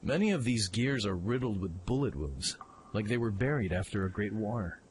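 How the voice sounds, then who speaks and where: A man playing a brash, eager young mech pilot in an audio drama, informal and excitable in his delivery. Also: on the low side, moderate pace, slight background noise, natural voice. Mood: suspicious, musing. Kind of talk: fan audio drama acting.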